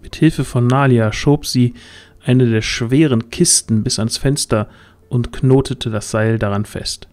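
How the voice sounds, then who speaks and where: Mellow male fantasy narrator. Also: medium pitch, steady tone, a little slow. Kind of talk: scripted narration of a fantasy audio drama. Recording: music under the voice.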